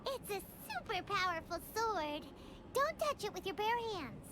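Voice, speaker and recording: A woman voicing a tiny, childlike, cheeky female sprite companion in a video game, with a squeaky, bubbly, blunt delivery. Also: sing-song, a little slow, noisy background, full character voice. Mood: matter-of-fact. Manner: bubbly, blunt, talks about herself in third person. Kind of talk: English video game voice acting. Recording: loud music behind the voice. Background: loud game music.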